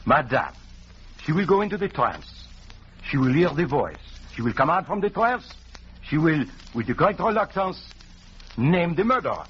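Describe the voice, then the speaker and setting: Pompous, animated French-accented detective. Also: male voice, fairly high pitch, normal speed, slightly rough, heavily acted. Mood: smug, triumphant. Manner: pompous, courtly, speaks of himself grandly. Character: vain, shrewd foreign male detective, courtly and theatrical. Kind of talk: 1940s radio drama acting. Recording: dull, noisy 1940s radio recording.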